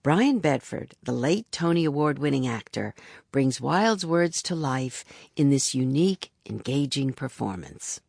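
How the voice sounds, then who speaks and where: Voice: medium pitch, expressive, unhurried, gravelly, darkish tone, no room sound, studio quality. An audiobook announcer reading a scripted promotional introduction in a polished, presentational manner.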